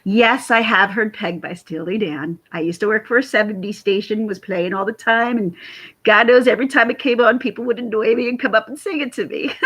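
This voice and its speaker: A woman with a raspy voice chatting casually to camera on her vlog, rambling and laughing often. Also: a little high, normal speed, sharp tone. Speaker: YouTube commentator.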